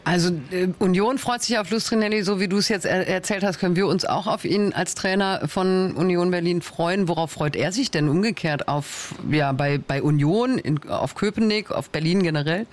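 Male news presenter, high voice, asks questions conversationally. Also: normal speed, hardly any pauses. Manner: conversational questions with small hesitations. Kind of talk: radio news interview, asking questions.

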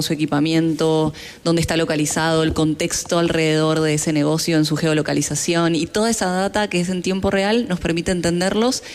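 A woman's voice: deep voice, clean tone. A woman with a Latin American Spanish accent, answering interview questions in an earnest, explanatory, conversational way. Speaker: fintech founder, award-winning guest.

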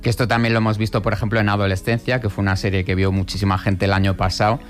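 Un hombre que comenta películas en un programa de radio, explicando con calma entre muletillas y preguntas retóricas. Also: male voice, fairly low pitch, very fast, faint music behind. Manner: explanatory, with many fillers and tag questions. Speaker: radio film commentator. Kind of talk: casual radio chat about films.

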